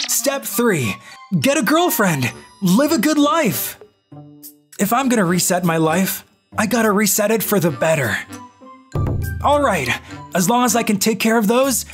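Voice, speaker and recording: A woman voicing an anxious, self-pitying, socially awkward boy narrating his own life in an animated manga story. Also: medium pitch, lightly acted. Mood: upbeat, then excited, determined. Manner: expressive, self-deprecating monologue with outbursts.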